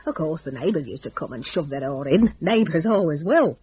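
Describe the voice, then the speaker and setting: A woman's voice: low-pitched, animated, brisk, a little mellow, husky, highs cut off. A woman narrating a dramatic story in a chatty, gossipy, folksy dialect.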